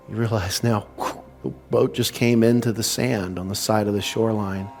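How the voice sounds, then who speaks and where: Low-voiced man leading slow guided meditation. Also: a little mellow. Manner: unhurried, soothing, measured phrases with long pauses. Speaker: meditation leader and spiritual speaker.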